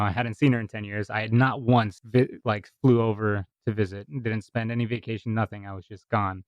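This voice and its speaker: A man's voice: lowish voice, dry recording. A young man from Hawaii, a podcast guest, chatting casually and rambling with frequent laughs. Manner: rambling, self-correcting, laughs often, swears casually. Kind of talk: casual conversation.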